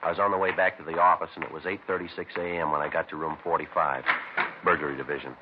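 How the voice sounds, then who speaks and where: Low, quick, deadpan police detective. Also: male voice. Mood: flat narration. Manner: clipped, deadpan, matter-of-fact. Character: terse, businesslike male police detective sergeant, weary and watchful. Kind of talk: 1950s radio crime drama acting and first-person narration. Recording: noisy, muffled old radio recording.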